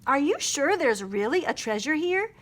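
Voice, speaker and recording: A woman voicing an eager, excitable small female toy animal in a children's storybook. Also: high-pitched, moderate pace.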